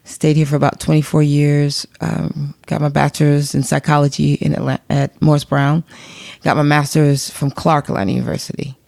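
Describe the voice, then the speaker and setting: A woman's voice: deep voice, level tone, moderate pace, gravelly, a little mellow, dry recording. A woman from Detroit speaking as an interview guest, matter-of-fact with short, clipped sentences. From Detroit, Michigan, US. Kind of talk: conversational interview. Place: recording session in Atlanta.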